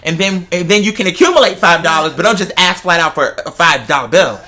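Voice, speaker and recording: Bright, sassy American woman telling stories. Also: lowish voice, animated, fast. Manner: sassy, emphatic, conversational. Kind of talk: casual chat, animated storytelling.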